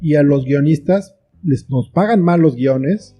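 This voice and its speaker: A man's voice: on the high side, a little slow, dull audio. A man with a Mexican accent giving reflective, explanatory answers as an interview guest in a conversational style. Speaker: interview guest, screenwriter and author.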